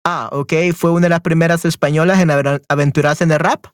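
A man's voice: a little high, steady tone, fairly quick, no room sound, clean studio sound. A man teaching a Spanish lesson to an online group, reading quiz questions aloud and switching often between Spanish and English, repeating his affirmations. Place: online class, talking to students.

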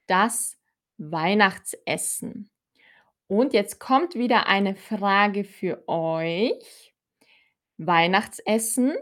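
A woman leads a live-streamed language lesson at a deliberately slow pace. Her voice sits at an ordinary middle pitch, and she speaks clearly, repeating key words for her learners. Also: lively intonation, a bit bright. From Austria. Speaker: German teacher.

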